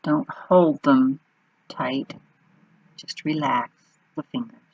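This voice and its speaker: A woman leading an unscripted guided meditation in an unhurried manner, pausing between short phrases. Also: very deep voice, darkish tone, husky, no echo, highs cut off.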